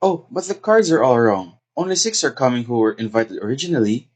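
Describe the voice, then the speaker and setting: A timid young society daughter acted by a woman, dutiful and placating. Her voice sits low for a woman, with a meek, reasonable delivery suited to a stage comedy. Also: animated, brisk, a little mellow. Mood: helpful. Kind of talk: comic stage-play acting.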